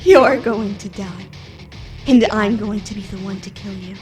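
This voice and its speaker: Woman acting an intense young anime heroine, slow-spoken. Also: medium pitch, over music, natural voice. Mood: cold, menacing. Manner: intense, dramatic. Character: young woman fighter, daughter with dangerous power. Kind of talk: anime fan-fiction voice acting.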